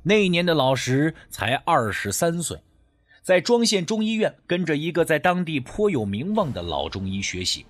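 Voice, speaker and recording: Husky, highly expressive male narrator. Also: normal speed. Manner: dramatic, expressive storytelling. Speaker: audiobook narrator. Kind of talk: scripted first-person mystery story narration.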